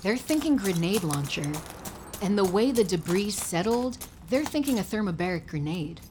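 A woman playing a brisk, technically minded government agent in an audio drama, speaking matter-of-factly. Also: mid-range voice, very animated, background noise, natural voice. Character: brisk, matter-of-fact female tech-savvy agent Rainey.

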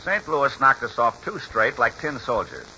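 An actor playing a folksy, exasperated old ball-club trainer in a 1940s radio drama, with slangy, ungrammatical talk. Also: male voice, mid-range voice, big pitch swings, moderate pace, pushed and bright. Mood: rueful storytelling. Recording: muffled, hissy 1940s radio recording.

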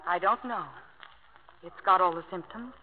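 An actress playing a lonesome, proud, flirtatious wealthy woman in 1950s radio drama style, cool and sardonic with sharp comebacks. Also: on the low side, moderate pace, lightly acted. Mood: hurt, sarcastic. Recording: crackly narrow-band 1950 radio broadcast.

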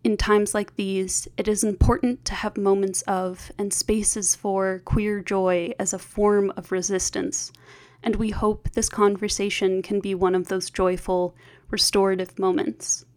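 A woman's voice: mid-range voice, flat delivery, measured pace, a little mellow. A woman reading a scripted podcast introduction as host.

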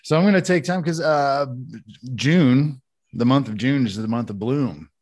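A man's voice: mid-range voice, moderate pace, a little mellow. A man co-hosting a casual podcast, talking loosely and laughing often while reading a sponsor spot. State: high on cannabis. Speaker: podcast co-host. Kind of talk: casual chat with sponsor read.

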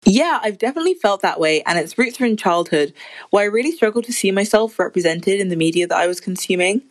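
A woman's voice: medium pitch, animated, fast talker. Eighteen-year-old woman sharing her story. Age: teens. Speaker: podcast guest. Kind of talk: casual personal account.

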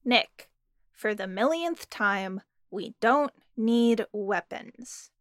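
An exasperated non-binary ghost hunter in an audio drama. The voice is slightly high, slow and correcting. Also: female voice, lively intonation, no room sound, studio quality.

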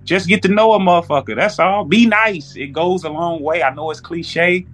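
A man's voice: high voice, normal speed, fairly bright tone, faint music behind. A man with an American accent talking casually as a podcast guest, with plenty of slang and swearing.

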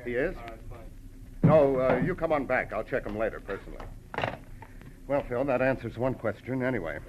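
An actor playing a matter-of-fact police detective, dry and colloquial, in 1940s radio crime drama style. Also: male voice, medium pitch, lively intonation, moderate pace, lightly acted. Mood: businesslike. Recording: dull old radio recording, crowd noise.

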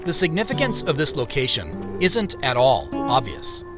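An actor playing a wistful, imaginative man who narrates an audio drama in a measured, descriptive storytelling manner. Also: mid-range voice, huge pitch swings. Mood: wistful, reflective. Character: wistful, imaginative male narrator picturing the past. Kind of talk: reflective first-person audio drama narration. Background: music.

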